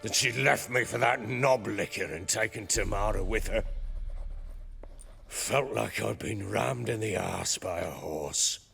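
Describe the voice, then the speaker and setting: An actor playing a gruff, bitter, hot-tempered middle-aged baron and soldier in a video game, coarse and blustering in his talk. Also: fairly low pitch, a little slow, a bit bright, soft background music, heavily acted. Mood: dark, regretful. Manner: coarse, blustering, profane storytelling. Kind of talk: dramatic video game acting.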